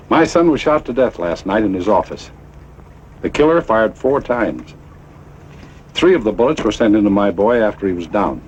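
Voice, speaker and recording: Male actor as grieving western lawman, American accent, grave, deliberate, expressive pitch, faintly noisy old soundtrack. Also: lightly acted. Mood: grim, probing. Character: grieving, resolute father turned frontier lawman. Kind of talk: mid-century western drama acting.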